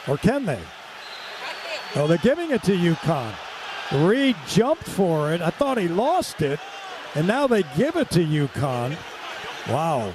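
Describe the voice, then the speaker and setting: A man calling live radio basketball play-by-play in clipped, excitable running descriptions. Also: a little high, a little slow, long pauses. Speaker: play-by-play announcer. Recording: courtside with loud crowd and gym noise. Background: crowd and arena ambience.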